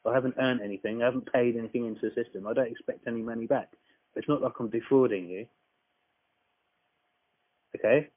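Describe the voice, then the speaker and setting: A man's voice: medium pitch, a little fast, long pauses, no room sound. A man making an argumentative complaint over the phone, insistent, blunt and repetitive. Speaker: caller disputing a tax account. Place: phone call, recorded. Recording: dry, dull lo-fi phone call recording.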